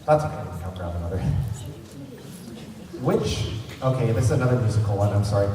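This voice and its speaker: A man's voice: lowish voice, lively intonation, a little fast, crowd noise. Male panel host, casual presenter, recorded from a distance in a heavily echoing hall. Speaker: fan convention panel host. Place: large convention centre room. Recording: echoey hall, distant mic, faint noise.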